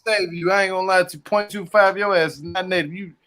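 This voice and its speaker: A man's voice: high voice, fairly even intonation, quick pace, pushed and bright. A man hosting a casual group chat, telling loose slangy jokes and stories. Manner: loose joking storyteller, slangy and profane. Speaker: host. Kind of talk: casual group chat, reality show recap.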